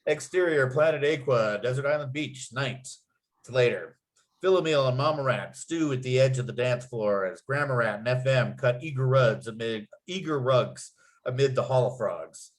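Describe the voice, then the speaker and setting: A man with a medium-pitched voice serves as narrator for a script read. He delivers stage directions and scene headings as written, in descriptive phrases. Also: moderate pace. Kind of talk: reading screenplay stage directions aloud.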